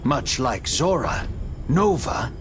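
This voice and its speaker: A man narrating a video game story in a measured, dramatic storybook style. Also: mid-range voice, very animated, slight background noise.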